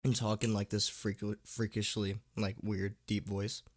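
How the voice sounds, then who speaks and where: Low-voiced, sick male streamer chatting casually. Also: flat delivery, measured pace. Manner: informal slangy young-streamer talk with frequent "dude" and "man". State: sick, with a sore throat; tired. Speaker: livestream host and horror story narrator. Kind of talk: casual livestream chat, reading and answering viewers.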